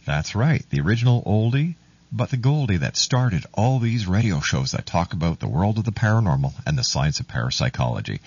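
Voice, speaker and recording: A man's voice: sits low, husky, dry recording. A man hosting a live radio talk show, reading out items in an announcer's manner and sometimes stumbling over the text. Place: radio studio. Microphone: close studio microphone. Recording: muffled, lo-fi radio audio.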